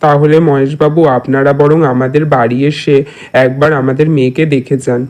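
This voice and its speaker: A man's voice: mid-range voice, flat delivery, moderate pace, darkish tone, close to an everyday voice. A man playing a kindly, reassuring village landlord and father in a cartoon. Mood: polite. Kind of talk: cartoon character acting in a Bengali moral tale.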